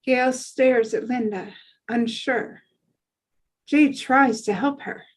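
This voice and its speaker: High-voiced woman narrating slowly. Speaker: stage directions reader. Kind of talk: scripted narration of a screenplay.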